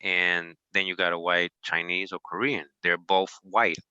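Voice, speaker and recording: A man from Costa Rica with a low, sharply bright voice. He talks casually and informally, peppering his points with "you know." The recording is close and dry. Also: flat delivery, a little slow, clear, resonant tone, no echo, muffled recording. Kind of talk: casual discussion chat.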